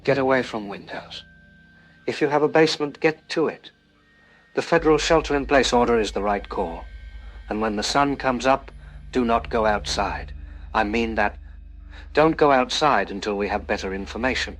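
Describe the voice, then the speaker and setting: A man's voice: medium pitch, moderate pace, natural voice. A man playing a cautious, sober doctor interviewed as an expert in a scripted news broadcast. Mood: firm warning. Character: cautious, sober male doctor advising the public.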